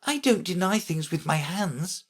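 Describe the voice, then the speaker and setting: A woman's voice: fairly low pitch, lively intonation, a little slow, husky, voice pushed up. A woman voicing an eager, polite, earnest little girl who likes to argue, in a children's storybook. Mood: pleading.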